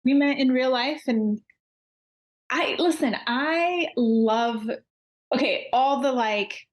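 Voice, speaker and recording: A woman's voice: high voice, slow. A woman guest born in Iran and raised in the United States, talking frankly and animatedly with many ums and likes. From Iran, raised in the USA. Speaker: podcast guest, business coach. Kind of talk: casual interview.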